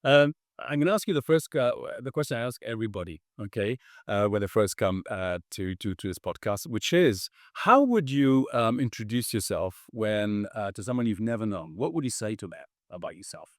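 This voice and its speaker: A male podcast host speaking in a somewhat low voice, stumbling through his questions with plenty of ums and okays. The sound is close and dry, with no room around it. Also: animated, normal speed, hardly any pauses, no room sound. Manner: hesitant, many ums and uhs, says okay often. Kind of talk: casual interview chat.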